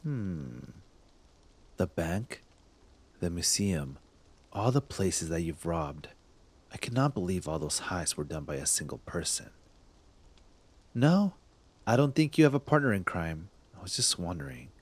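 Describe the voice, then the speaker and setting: A smug, teasing cop played by a man speaks in a very low voice, addressing the listener up close in an ASMR roleplay. He takes his time, with halting, intimate phrasing that gives away how smitten he is. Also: big pitch swings, heavily acted. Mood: probing, softening. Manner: teasing, intimate, halting, drops into breathy murmurs. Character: smug young male cop, secretly smitten with thief. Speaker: voice actor in audio roleplay.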